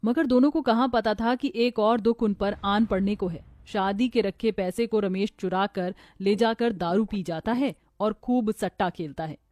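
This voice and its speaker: A woman's voice: medium pitch, moderate pace, hardly any pauses, a little mellow, no echo, clean studio sound. A woman narrating a scripted moral story for a children's cartoon.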